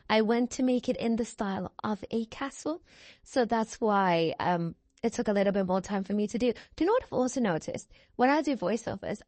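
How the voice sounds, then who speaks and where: A woman's voice: medium pitch, a little mellow, no room sound. A young woman giving a casual, rambling voiceover as a game builder, drifting off on tangents and using lots of fillers. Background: faint background music.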